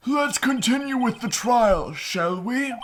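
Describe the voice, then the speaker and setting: Man putting on a high-pitched formal old judge. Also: animated, a bit bright, a little hiss or hum, full character voice. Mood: formal, unhurried. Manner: formal, measured. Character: elderly male judge presiding over court, mild and formal. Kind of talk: video game fan dub.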